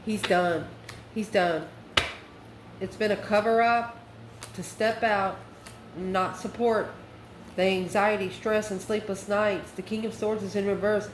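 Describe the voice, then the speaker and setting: A woman with a mid-pitched voice hosts an informal tarot reading. She speaks in a folksy, emphatic manner, calling listeners by endearments. The recording has a roomy echo and a faint layer of background noise. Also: fairly even intonation, unhurried, pauses often. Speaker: tarot card reader and channel host.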